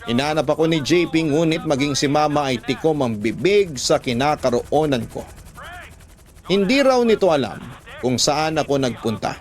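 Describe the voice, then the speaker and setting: A man's voice: fairly high pitch, expressive, normal speed. A man with a Filipino accent reading a listener's love letter as a scripted first-person radio narration.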